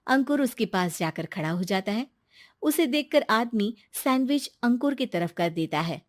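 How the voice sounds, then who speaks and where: A woman reads a children's moral tale as its narrator. Her voice sits fairly high. The sound is dry, with hardly any room on it. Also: expressive, normal speed, no room sound. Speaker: story narrator.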